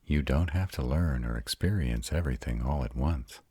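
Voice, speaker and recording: An arrogant yet devoted vampire, played by a man for a romantic roleplay audio. His voice is extraordinarily deep with a husky rasp, recorded dry and close. He speaks deliberately and tenderly, like a patient, intimate teacher. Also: dark tone, dry recording. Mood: gentle, reassuring. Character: arrogant male vampire, devoted mate and patient mentor to a newly turned partner.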